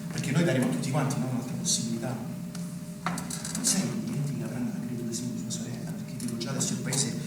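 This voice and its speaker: An actor plays a smug, talkative provincial man in a live stage play, holding forth for local independence with prodding little questions. He is heard from far off in a big echo, under loud noise. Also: mid-range voice, close to an everyday voice. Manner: rhetorical, prodding with tag questions. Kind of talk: live stage theatre acting. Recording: very echoey, distant, noisy stage recording.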